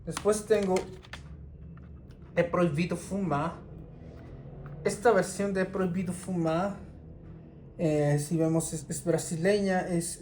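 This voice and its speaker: A man, talking casually and unhurriedly through his CD collection, with many pauses and restarts. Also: high-pitched, darkish tone, slight background noise. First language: Spanish. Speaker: record collector.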